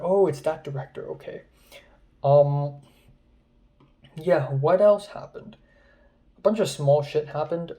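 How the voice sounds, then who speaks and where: A man's voice: fairly high pitch, mellow. A young man from Hong Kong, a film student, rambling through a casual vlog recap of his day with many ums and restarts. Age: young adult.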